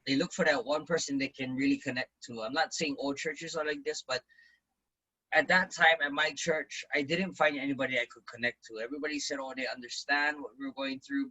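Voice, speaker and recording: A man's voice: fairly high pitch, sharp tone, slightly rough, poor audio. A man in his forties, a business owner and event emcee, telling his story in a casual interview and acting out quoted lines in a raised voice. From Hawaii.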